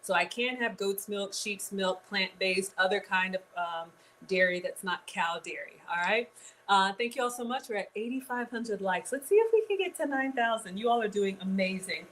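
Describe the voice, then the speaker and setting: A woman's voice: mid-range voice, animated, normal speed, a bit bright, a little hiss or hum. Woman, cooking show host, live stream, home kitchen, chatty, talks to viewers. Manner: chatty, talks straight to viewers, drawling asides.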